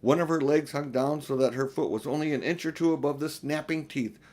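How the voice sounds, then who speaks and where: A man's voice: on the high side, fairly even intonation, a little fast, a little mellow. An older man narrating a children's storybook as an amateur audiobook reader, in a plain, homely manner.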